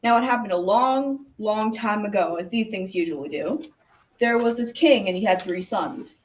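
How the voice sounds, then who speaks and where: A woman's voice: medium pitch, moderate pace, natural voice. A teenage girl playing a folksy old grandfather who tells his grandchildren a tale in a school radio drama. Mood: storytelling. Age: young teenager. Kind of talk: scripted storytelling. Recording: distant mic, clear background noise.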